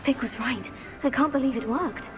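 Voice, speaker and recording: Female actor as young witch student, game protagonist: earnest, inquisitive, slightly high voice. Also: normal speed, music in the background, close to an everyday voice. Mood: curious. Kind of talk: video game voice acting.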